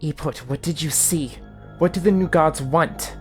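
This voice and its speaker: A man's voice: a little high, normal speed, faint music behind, voice pushed up, natural voice. A man voicing a resolute, defiant woman vizier in a fantasy audio drama, earnest and urgent.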